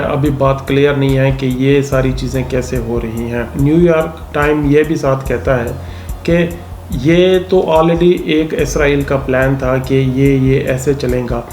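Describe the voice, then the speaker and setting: Echoey-room male explainer with lower-pitched voice. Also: a little mellow. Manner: mixes English technical words into speech. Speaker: YouTube host explaining current affairs. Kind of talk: explainer monologue, semi-formal. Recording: echoey room, faint background noise.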